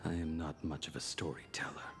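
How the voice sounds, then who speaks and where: An actor playing a weary, brooding man in a video game, speaking gravely in a breathy near-whisper. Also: extremely low pitch, fairly even intonation. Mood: weary, resigned. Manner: slow, grave, measured. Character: weary, resigned man settling his past, terse and brooding. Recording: loud game music behind. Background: game music.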